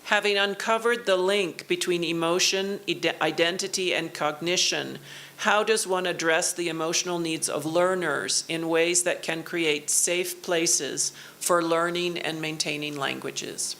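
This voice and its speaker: Slow, low-voiced woman giving a lecture. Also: steady tone, a bit bright. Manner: slow, measured, pausing for the interpreter. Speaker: keynote lecturer. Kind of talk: academic lecture in English with consecutive interpreting. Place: university auditorium.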